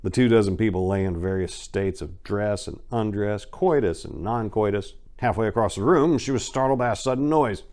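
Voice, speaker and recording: A man's voice: fairly low pitch, normal speed, hardly any pauses, a little mellow. A man narrating a crime short story as a dramatic reading, with theatrical, emphatic delivery.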